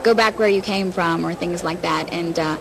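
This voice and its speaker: A woman's voice: normal speed, vocal fry. A young woman with an American accent, a student giving casual, conversational answers in an interview. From United States, New York. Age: young adult. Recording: noisy venue with background rumble.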